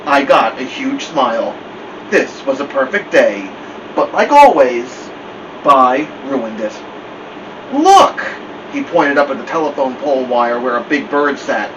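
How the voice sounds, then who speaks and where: A man's voice: mid-range voice, a little slow. A man reading a novel aloud as first-person storybook narration. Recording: dull, distant mic with loud air-conditioner hum.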